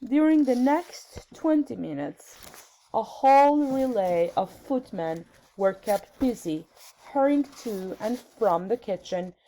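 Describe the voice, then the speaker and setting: A woman's voice: fairly high pitch, lively intonation, slow pace, dark tone, rough recording. A woman reading a children's storybook aloud as narrator. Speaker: story reader.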